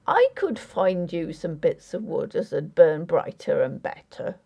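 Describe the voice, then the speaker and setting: A woman's voice: sits low, sing-song, unhurried, gravelly, warm tone, heavily acted. A woman voicing a dogged, wry, superstitious old village carpenter with a folksy, rambling way of talking. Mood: coaxing. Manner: folksy, rambling, dialect grammar.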